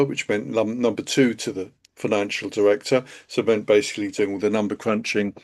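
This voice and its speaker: Man, live stream host, chatty, halting speech, video call mic. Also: lowish voice, a little mellow. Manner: chatty, halting, many fillers. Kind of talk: casual live webinar talk.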